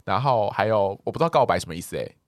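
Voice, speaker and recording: A man's voice: fairly low pitch, expressive, racing, dry recording, clean studio sound. A man with a Taiwanese Mandarin accent chatting casually and expressively as a podcast co-host, with occasional English words mixed in. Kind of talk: casual chat podcast, reading listener replies.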